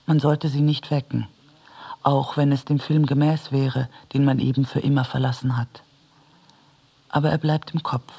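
A man reading literary prose for a radio drama in a measured, deliberate way. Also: mid-range voice, creaky, warm tone, husky. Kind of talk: literary narration, radio play.

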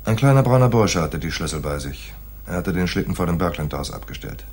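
A man's voice: sits low, expressive, a little fast, darkish tone, slightly rough. An actor playing a laconic, shrewd private detective in a radio play, dry and slangy, telling his case like noir. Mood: cool, terse. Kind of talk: 1980s German radio play, noir dialogue and narration.

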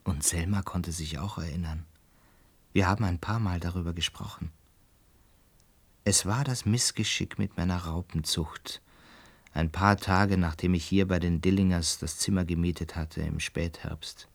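An actor in a radio play as a lonely, distraught male lodger, talking haltingly and broodingly into a cassette recorder. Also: deep voice, fairly even intonation, vocal fry. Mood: haunted, brooding. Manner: halting, brooding, swinging from murmurs to anguished cries. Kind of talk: dramatic radio play monologue.

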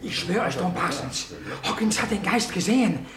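An actor playing a nosy, excitable tavern regular in a radio play, eager and wheedling, in a roomy echo. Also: male voice, sits high, normal speed. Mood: excited. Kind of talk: German radio play acting. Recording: roomy, echoing sound.

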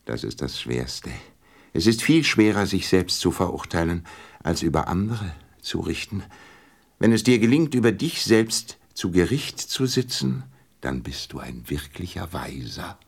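A deep-voiced old king in a radio play. He is pompous yet kindly, swooping up and down as he hands out royal commands, sometimes faltering into stammers. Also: very animated, unhurried, creaky, breathy edge, full character voice. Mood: flustered, grandiose. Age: older.

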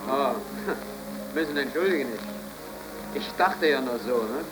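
An actor playing a humble, downcast young unemployed blacksmith who speaks hesitantly, in a 1930s radio drama. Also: male voice, mid-range voice, slow, over loud music, lightly acted. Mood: timid, apologetic. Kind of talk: 1930s German radio play acting. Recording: very noisy old 1930s radio recording.

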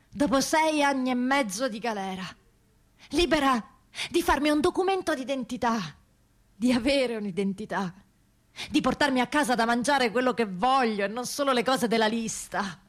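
A woman playing a proud, relieved ex-prisoner in an emphatic, insistent theatrical monologue. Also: sits high. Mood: elated, emphatic. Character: freed female ex-prisoner, proud, relieved, determined. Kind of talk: theatrical first-person monologue.

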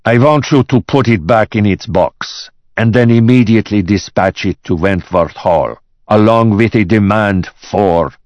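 A man's voice: lowish voice, a little slow, a little mellow, no room sound, dull audio, pitched above the performer's own voice, lightly acted. A man voicing a raging, vindictive business boss in an audiobook, barking orders and spitting words out with venom. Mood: cold menace.

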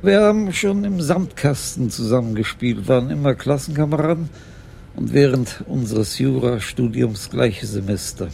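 An actor playing a gentle older police commissioner and tender husband in a radio crime drama, speaking in a measured, reassuring way. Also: male voice, mid-range voice, animated, creaky, a little hiss or hum. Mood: reflective. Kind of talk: German radio play acting, intimate dialogue.

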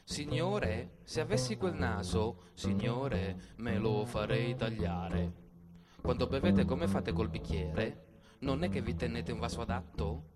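A man playing a brash, mocking heckler on stage, loud, taunting and declamatory. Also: medium pitch, animated, slow, loud music behind the voice. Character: brash, mocking heckler jeering at an enormous nose. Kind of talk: stage monologue theatre.

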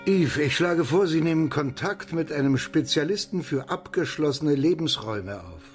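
A man's voice: fairly high pitch, animated, moderate pace, soft background music, lightly acted. An actor playing a businesslike male advisor in a radio play. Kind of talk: German radio play acting.

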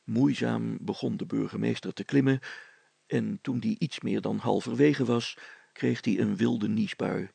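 A man's voice: lowish voice, steady tone, a little slow, creaky, dark tone, slightly rough, dry recording. A man reading a children's story as its narrator, in scripted storytelling style.

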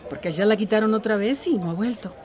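A woman's voice: mid-range voice, quick pace, a little mellow, natural voice. A woman playing a frank, practical village neighbour in a radio drama, conversational and matter-of-fact.

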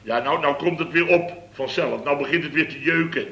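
An actor playing a boastful, muddled contractor boss of fifty in a 1960s radio play. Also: male voice, a little high, brisk, gravelly, pushed and bright, muffled recording. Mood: blustering. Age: 50s. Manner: blustering, rambling, chuckles at himself. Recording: echoey room with faint noise.

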